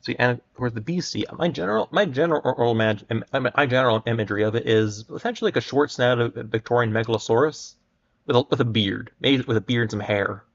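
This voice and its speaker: A man hosting a livestream and reading aloud, haltingly, often stumbling over and repeating his words. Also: mid-range voice, creaky, lo-fi sound. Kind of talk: dramatic reading and casual livestream chat.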